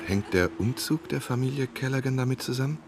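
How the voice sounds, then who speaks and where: An actor playing an inquisitive, persistent novelist turned investigator, narrating and questioning matter-of-factly in a German radio drama. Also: male voice, mid-range voice, a little fast, natural voice. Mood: probing. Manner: probing, matter-of-fact. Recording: clear background ambience noise.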